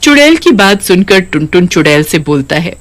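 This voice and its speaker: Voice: mid-range voice, very animated, normal speed. A narrator reading a scripted cartoon story.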